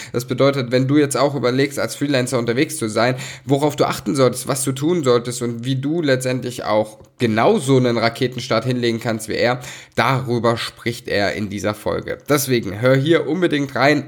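Fields: Man. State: slightly nasal from a cold. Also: mid-range voice. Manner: chatty, apologetic, addresses listener directly. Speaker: podcast host. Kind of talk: solo podcast intro.

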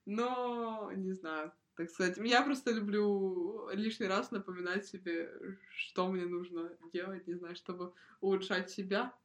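Young woman on a podcast, mid-pitched voice, relaxed rambling chat, laughs a lot. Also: unhurried, few pauses. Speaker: podcast co-host. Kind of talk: casual chat.

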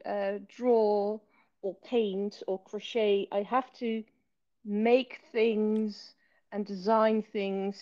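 A Dutch woman in her thirties with a learned British accent, a low-energy author speaking hesitantly with many fillers from a hospital bed. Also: highs cut off. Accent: Dutch speaker with learned British English accent. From Netherlands. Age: 30s. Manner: soft-spoken, hesitant with many fillers, occasional laughs. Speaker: author and illustrator, guest. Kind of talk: casual remote interview.

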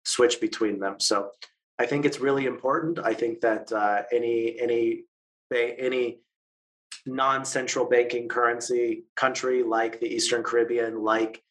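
A man's voice: medium pitch, flat delivery, fairly bright tone, rough recording. A man answering interview questions, explaining at length with frequent fillers, false starts and repeated words. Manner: halting, many fillers and restarts. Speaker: interview guest, stablecoin expert.

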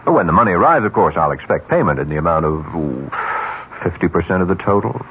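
Deep-voiced, smooth murder broker on radio. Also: male voice, lively intonation, quick pace, a bit bright. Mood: quietly persuasive. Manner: businesslike, persuasive, coolly insinuating. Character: smooth, calculating male broker of arranged murders. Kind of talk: 1970s radio drama acting. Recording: muffled, noisy 1970s radio broadcast.

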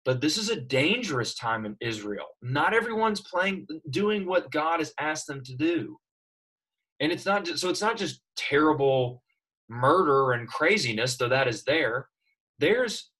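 A man's voice: fairly high pitch, breathy edge. A man teaching a classroom Bible lesson, asking his students questions as he explains. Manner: animated, asks students questions.

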